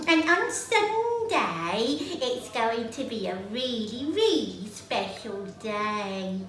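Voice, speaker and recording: A woman voicing a sweet, earnest little girl puppet in a children's show, with a deliberate, childlike delivery. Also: on the high side, sing-song, fairly bright tone, echoey room, recorded from a distance, full character voice.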